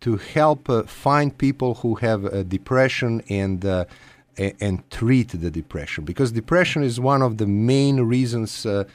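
A man with a Croatian accent answering radio interview questions as an expert guest, haltingly and with frequent pauses. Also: medium pitch, animated, no room sound. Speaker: guest psychiatrist and researcher.

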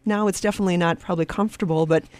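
A woman's voice: lowish voice, steady tone, rapid-fire, a little mellow. A woman co-hosting a radio interview program in a conversational style. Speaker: radio co-host.

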